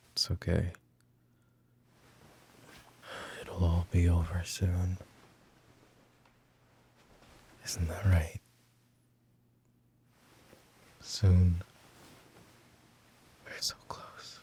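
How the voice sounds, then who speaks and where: Casting: male actor as weary mafia boss for roleplay ASMR, very deep with heavy fry, breathy, haunted, whisper-prone. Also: level tone, very slow, long pauses, darkish tone, slight background noise, heavily acted. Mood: weary, self-soothing. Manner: breathy, sighing, often dropping to a whisper.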